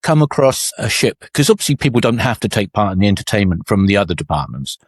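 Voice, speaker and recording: A man's voice: fairly low pitch, big pitch swings, fast, breathy edge, dry recording. A man co-hosting a podcast, a cruise director chatting casually and agreeably about old shipboard teams. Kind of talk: casual conversation.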